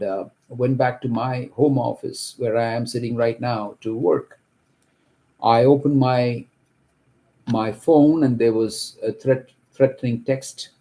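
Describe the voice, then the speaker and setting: A middle-aged man from Kerala, India, who grew up speaking Malayalam, gives an earnest, halting account of his own story from his home office. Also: mid-range voice, dark tone. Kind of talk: personal testimony to camera.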